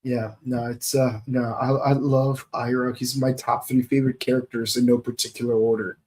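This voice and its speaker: A man co-hosting a podcast, chatting casually and joking as he rambles and thinks out loud. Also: mid-range voice, flat delivery, moderate pace. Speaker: podcast co-host. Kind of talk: casual chat about a TV series.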